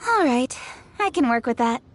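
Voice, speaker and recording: Composed, formal young official, highish voice. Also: female voice, animated, moderate pace, faint music behind. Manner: crisp, formal, businesslike. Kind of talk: video game voice acting.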